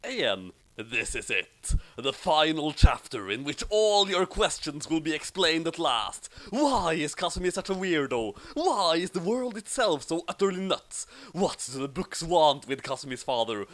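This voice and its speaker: A man's voice: a little high, big pitch swings, a little slow, hardly any pauses, fairly bright tone. A man giving casual commentary as the author of a comic story.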